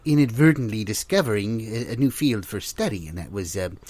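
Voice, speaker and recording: A man's voice: on the low side, expressive, fairly quick, darkish tone. A man playing a flustered, apologetic academic researcher, stammering formally through an improvised comedy interview. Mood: flustered, hesitant.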